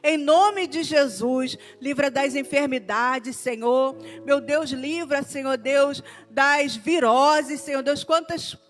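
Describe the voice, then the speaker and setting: An older woman, leading a fervent intercessory prayer with short repeated invocations. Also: sits high. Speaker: prayer leader. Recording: long hall reverb with faint music.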